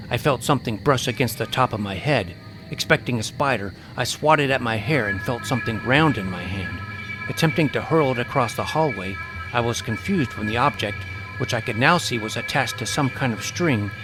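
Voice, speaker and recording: A man's voice: mid-range voice, low-quality recording. A man giving a dramatic, scripted first-person narration of a suspense adventure story.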